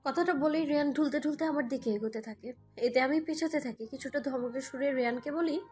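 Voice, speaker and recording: A woman's voice: sits high, brisk, a little mellow, natural voice. A woman playing a hurt, defiant young mother who narrates her own romantic audio story, with an emotional, scolding manner. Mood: uneasy narration. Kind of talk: first-person Bengali audio story narration and dialogue. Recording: music bed behind the voice.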